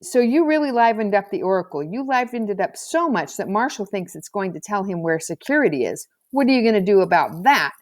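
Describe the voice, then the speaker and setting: A woman's voice: mid-range voice, big pitch swings, brisk, hardly any pauses, a little mellow, dry recording, clean studio sound, lightly acted. Woman reading as an inquisitive, skeptical schoolgirl. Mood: challenging. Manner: questioning, pressing. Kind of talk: storybook character voice.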